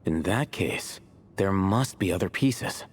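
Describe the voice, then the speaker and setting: An actor playing an unhurried desert scout in a video game, with a dry, teasing manner. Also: male voice, low-pitched, lively intonation, breathy edge, exaggerated voice. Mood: nonchalant. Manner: laid-back, dry.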